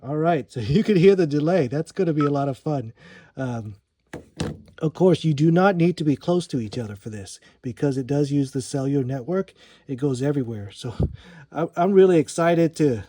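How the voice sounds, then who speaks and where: A man's voice: a little high, lively intonation, moderate pace, mellow, slight background noise. A man giving a casual gear review in an informal, explanatory way, as a vlogging product reviewer.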